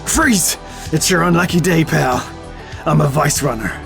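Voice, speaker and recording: A man's voice: fairly high pitch, animated, a little slow, creaky. A man acts a brash undercover vice detective in an audio drama, with music running behind him. He is combative and streetwise, with a sarcastic edge. His accent is a mix with traces of Irish and London. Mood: aggressive. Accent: mixed, with Irish and London traces.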